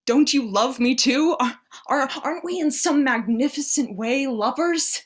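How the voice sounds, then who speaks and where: An actress playing an anguished, devout woman who pleads with and challenges God in halting, impassioned speech, in a staged play reading. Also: sits high, slightly rough. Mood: pleading, wounded. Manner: halting, impassioned, swinging between hushed and pleading.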